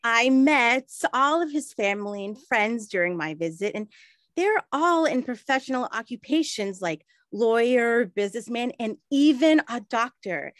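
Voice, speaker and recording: A woman's voice: fairly high pitch, expressive, a little slow, dry recording, clean studio sound. A woman playing an earnest, proper 1920s woman in an audio drama, explaining things carefully. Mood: happy, confiding.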